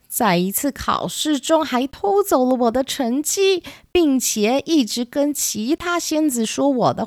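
A woman voicing a vengeful, scornful dark witch with a theatrical, taunting manner in a children's storybook audio drama. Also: sits high, no echo, clean studio sound, voice pushed up, exaggerated voice.

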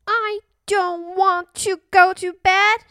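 A woman's voice: extremely high pitch, little pitch movement, slow pace, bright, forceful tone, no echo, studio quality, voice pushed up, exaggerated voice. A woman voicing a stubborn little storybook princess with a whiny, protesting manner.

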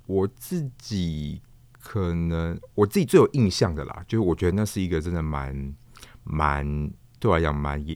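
A man with a Taiwanese accent answering interview questions thoughtfully and haltingly, repeating himself as he searches for words. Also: extremely low pitch, no room sound. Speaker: guest, theatre performer, puppeteer and director. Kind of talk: reflective interview conversation.

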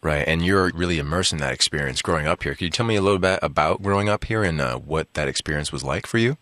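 A man's voice: rumbling low, quick pace, fairly bright tone, no echo, clean studio sound. A man hosting an interview, asking friendly questions with a few "uh" fillers. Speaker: interviewer, host.

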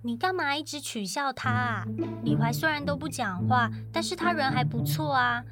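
Give me a young woman playing a gentle schoolgirl with a high voice. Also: moderate pace, loud music behind the voice, natural voice. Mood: chatty. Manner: gently scolding, kind. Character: kind, sensible teenage girl student.

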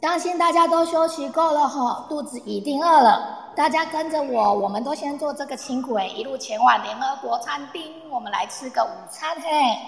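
A woman's voice: sits high, normal speed, gravelly, few pauses, soft background music. A woman playing a chatty, eager tour guide who explains patiently and coaxingly in a radio play. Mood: rallying, coaxing. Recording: echoey reverberant room sound.

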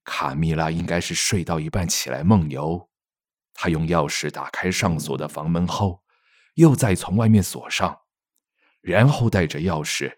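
A man playing a reassuring, talkative middle-aged father in an audio drama, explaining things in an expressive, fatherly way. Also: very deep voice, no echo, studio quality.

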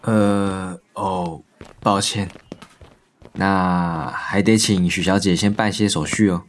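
A man's voice: deep voice, fairly even intonation, takes it slowly, a little hiss or hum. An actor in an audio drama playing a composed, considerate male police officer. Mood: composed, sympathetic. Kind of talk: modern Mandarin audio drama acting.

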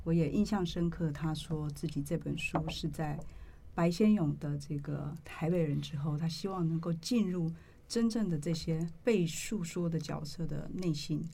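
A woman hosting an interview in a measured, earnest, reflective way. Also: deep voice, vocal fry, warm tone. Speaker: interview host.

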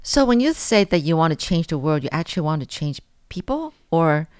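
A woman hosting a radio interview in a relaxed, chatty way. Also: low voice, fairly quick, clear, resonant tone, no echo, studio quality. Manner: relaxed, chatty, laughs often. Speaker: radio show host. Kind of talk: casual interview.

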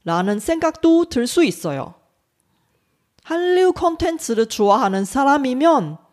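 A woman from Taiwan, a native Chinese speaker with a Taiwanese accent, giving a scripted lesson as a language teacher in a careful, measured way. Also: on the high side. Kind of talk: scripted educational narration.

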